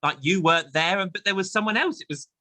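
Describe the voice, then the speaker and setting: A man's voice: high voice, fast, bright, forceful tone, dry recording. An English man hosting a chatty theatre interview and quiz, effusive and encouraging in manner. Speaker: host of a theatre chat show.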